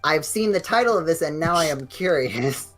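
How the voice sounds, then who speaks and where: A man's voice: on the high side, lively intonation, moderate pace, fairly bright tone. Young guy rambling thru a story on a game stream, lots of restarts, bit of music in the back. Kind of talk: casual chat among friends.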